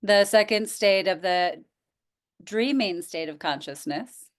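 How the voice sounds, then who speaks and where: A woman teaching yoga nidra, guiding relaxation in a gentle, inviting manner with pauses. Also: mid-range voice. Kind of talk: guided relaxation instruction.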